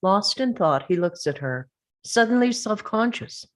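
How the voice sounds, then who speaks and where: A woman reading the action and description at a script table read over a video call, in a measured, plain way. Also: medium pitch, lively intonation.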